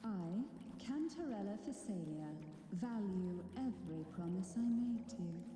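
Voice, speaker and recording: A woman acts a poised, enigmatic noblewoman in a video game story. She is gentle, solemn and measured. Her voice rings with long, heavy reverb over music. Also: medium pitch, expressive, natural voice. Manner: formal, measured. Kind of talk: dramatic video game voice acting.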